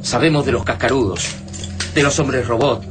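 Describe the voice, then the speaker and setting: A man's voice: medium pitch, normal speed, music in the background, close to an everyday voice. An Argentine actor in a radio drama playing a resolute resistance comrade, earnest and insistent as he persuades. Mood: earnest, persuasive. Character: Fava, resolute persuasive male comrade in alien-war resistance. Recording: clearly noisy radio drama ambience.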